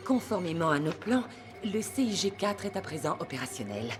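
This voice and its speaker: A woman playing a cool villain's aide in a cartoon, formal, measured and gloating. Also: lowish voice, very animated, music in the background. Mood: cool satisfaction. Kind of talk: dubbed cartoon acting.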